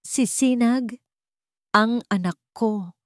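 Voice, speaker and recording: A woman voicing an anguished, betrayed young wife and mother in a dramatic story, emotional and raised in pitch. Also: extremely slow, no room sound, studio quality. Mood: desperate. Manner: emotional, pleading or crying out.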